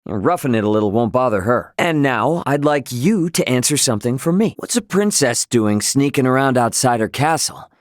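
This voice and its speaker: A man's voice: mid-range voice, animated, moderate pace, hardly any pauses, dry recording, studio quality, lightly acted. A male actor playing a young, brash, easygoing but stubborn spaceship captain in a video game, with a casual, slangy, wry manner. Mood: easygoing, explanatory. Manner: casual slangy, wry, loosely drawled asides. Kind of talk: English video game voice acting.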